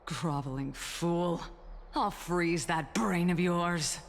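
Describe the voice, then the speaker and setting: Cold, scornful noblewoman, lower-pitched. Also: takes it slowly, a little hiss or hum. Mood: contemptuous. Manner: haughty, contemptuous, formal. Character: cold, scornful high-ranking noblewoman commander. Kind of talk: video game voice acting. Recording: long hall reverb over music.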